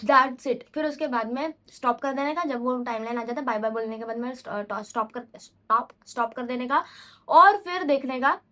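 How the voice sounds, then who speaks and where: High-voiced chatty young woman vlogger. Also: moderate pace, sharp tone. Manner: rambling, chatty, mixes English into Hindi, self-correcting. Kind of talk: casual unscripted vlog talk.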